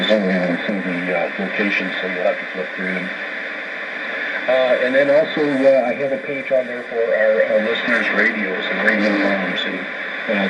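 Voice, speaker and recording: Low-voiced man on very noisy shortwave radio. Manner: halting, hesitant delivery with frequent uhs and repeats. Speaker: radio show host. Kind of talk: informal show announcements. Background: loud radio static and noise.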